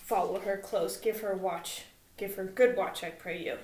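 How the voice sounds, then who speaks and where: A woman voicing a troubled, scheming middle-aged king who speaks in a measured, heavy way. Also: steady tone, darkish tone, reverberant, a little hiss or hum, natural voice. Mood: brooding. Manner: measured, brooding, lowered and heavy.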